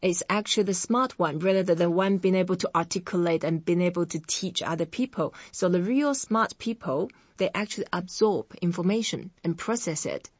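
A woman with a Chinese accent, a native Chinese speaker, teaching vocabulary in a language lesson and saying each syllable slowly and clearly. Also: on the low side, no room sound, lo-fi sound. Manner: slow, clearly separated syllables when modelling words. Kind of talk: language lesson with repeat-after-me drills.

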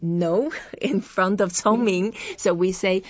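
A woman with a Chinese accent, a native Chinese speaker, teaching vocabulary in a language lesson and saying each syllable slowly and clearly. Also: medium pitch, darkish tone, dry recording, dull audio. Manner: slow, clearly separated syllables when modelling words. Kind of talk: language lesson with repeat-after-me drills.

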